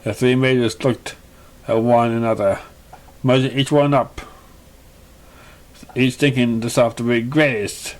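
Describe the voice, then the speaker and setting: Male storybook narrator, slow pace, mid-low everyday pitch, slightly halting read. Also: fairly even intonation. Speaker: narrator of a fan fiction story.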